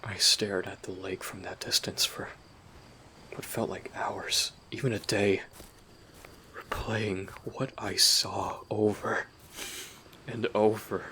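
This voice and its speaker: Man acting low, flat, brooding young man. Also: slow pace, long pauses, a little hiss or hum. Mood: regretful, heavy. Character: brooding, guilt-ridden young human recounting a traumatic memory. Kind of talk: dramatic monologue in an audio roleplay.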